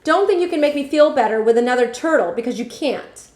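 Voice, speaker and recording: A woman's voice: high voice, expressive, fast talker, darkish tone, voice pushed up. A woman reading as an upset, stubborn young boy, protesting emphatically and sulkily. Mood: sulky. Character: upset, stubborn young boy who lost his pet. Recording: echoey room.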